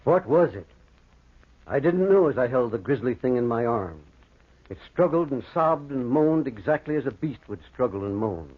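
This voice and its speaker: A man acting an earnest, excitable older doctor who narrates a ghost tale in old-time radio horror style, with dramatic, deliberate delivery. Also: mid-range voice, breathy edge, narrow, tinny audio. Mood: grave dread. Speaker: narrator and lead actor. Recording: thin, noisy vintage radio recording.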